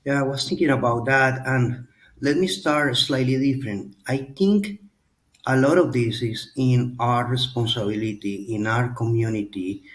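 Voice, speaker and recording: A middle-aged man answering as a panelist on a webinar, measured and thoughtful in his phrasing. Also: medium pitch, rough recording.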